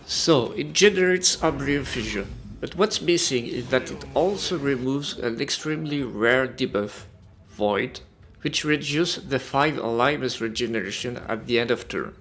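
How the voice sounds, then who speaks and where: A man's voice: mid-range voice, normal speed, fairly bright tone. A man reading a scripted video game review as a voice-over. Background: music.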